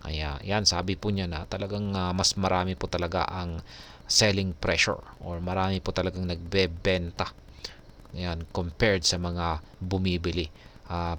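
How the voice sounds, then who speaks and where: Deep-voiced Filipino man explaining news. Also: a bit bright. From Philippines. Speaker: crypto news vlogger. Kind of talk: news explainer, alternating read-out article and commentary.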